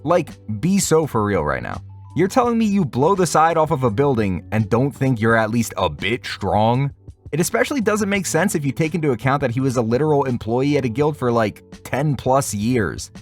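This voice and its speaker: A man's voice: medium pitch, sing-song, moderate pace, soft background music. A man narrating a scripted review as host, with casual, wry and sarcastic asides.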